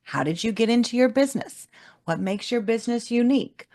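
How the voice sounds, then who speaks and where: A woman co-hosting a business webinar, explaining homework questions in a calm, measured teaching manner. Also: fairly high pitch. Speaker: webinar co-host, leadership coach. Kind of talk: instructional webinar talk.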